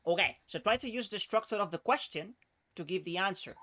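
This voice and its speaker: Guy teaching english one on one, kinda high voice, super patient, keeps saying okay?. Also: animated, brisk, pushed and bright. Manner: patient, checks in with frequent "okay?"; switches to Spanish to explain.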